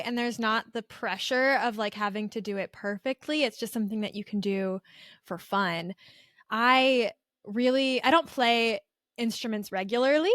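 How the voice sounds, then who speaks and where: A woman's voice: mid-range voice, fairly bright tone, dry recording, clean studio sound. A woman co-hosting a podcast in friendly, informal conversation. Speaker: podcast co-host.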